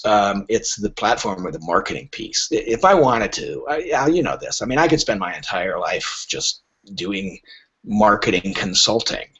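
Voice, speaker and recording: Animated husky man explaining his trade. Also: on the low side, lo-fi sound. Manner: emphatic, halting starts with repeated words, rhetorical questions. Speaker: literary agent, guest. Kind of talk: conversational explaining on a podcast.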